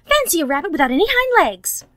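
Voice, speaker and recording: A cheeky wild rabbit from a storybook, acted out by a woman. He is high, quick and blunt, with a lively taunting swing to everything he says. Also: no room sound, studio quality, full character voice. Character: brash, teasing young male wild rabbit.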